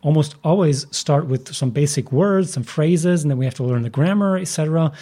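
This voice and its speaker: A man's voice: fairly high pitch, a little fast, mellow. A man hosting a podcast, making an earnest argument before asking his guest a question. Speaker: podcast host. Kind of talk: podcast interview.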